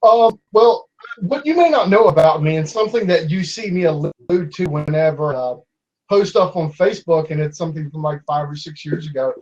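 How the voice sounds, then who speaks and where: Twenties guy debating online, stumbles and restarts a lot, kinda high voice, muffled audio. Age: 20s. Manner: halting, many restarts and fillers.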